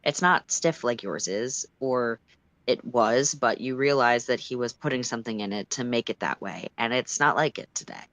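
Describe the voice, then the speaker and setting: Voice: medium pitch, normal speed, fairly bright tone, dry recording. A storyteller reading fan fiction aloud in the second person, with an emphatic, dramatic and exasperated delivery. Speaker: storytime livestream reader.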